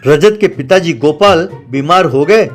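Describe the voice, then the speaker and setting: A man's voice: fairly high pitch, lively intonation, unhurried, soft background music. A man reading scripted narration for a children's cartoon story.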